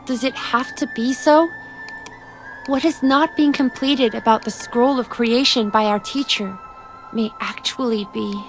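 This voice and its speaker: A sorrowful young woman disciple in a fantasy game, voiced by an actress, speaks earnestly. Her voice is high and very unhurried, with music clearly behind it. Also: close to an everyday voice. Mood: pensive. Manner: measured, earnest. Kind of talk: dramatic video game voice acting.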